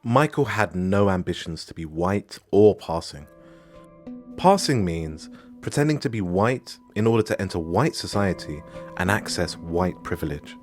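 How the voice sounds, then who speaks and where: Animated male documentary podcast host. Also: sits low, unhurried, soft background music. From Morocco. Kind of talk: scripted documentary narration.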